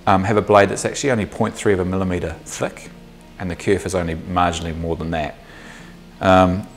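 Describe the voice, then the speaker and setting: A man in his thirties with a New Zealand accent, explaining a woodworking project step by step in a workshop, relaxed and thinking aloud with the odd um. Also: low-pitched. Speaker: woodworking instructor. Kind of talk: workshop tutorial. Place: small indoor workshop.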